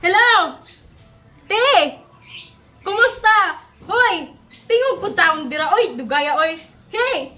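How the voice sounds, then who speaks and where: A Filipino woman with a Bisaya accent calling out loudly and insistently in agitated, spontaneous phone talk. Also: extremely high pitch, expressive, slow, pauses often. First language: Cebuano. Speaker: target of a phone prank.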